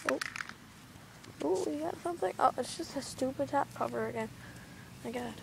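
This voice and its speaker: High voiced girl or woman filming outside, kinda creaky, offhand play-by-play, noisy camera audio. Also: expressive, normal speed. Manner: offhand, narrating actions as they happen. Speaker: amateur video maker. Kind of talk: casual amateur vlog commentary. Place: outdoors in a yard by a street. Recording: noisy amateur camcorder audio.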